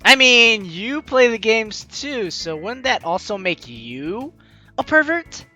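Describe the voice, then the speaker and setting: A man's voice: high-pitched, very animated, slow, lo-fi sound. A man doing casual let's play commentary, reading game text aloud and adding teasing, sarcastic asides. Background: soft game music.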